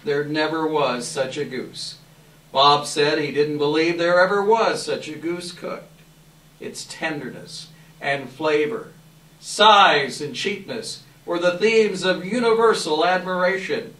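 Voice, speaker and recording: A man giving an expressive live dramatic reading of a classic story as narrator, with measured phrasing. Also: a little high. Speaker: narrator, readers theater volunteer. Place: live event room. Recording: echoey room, distant microphone.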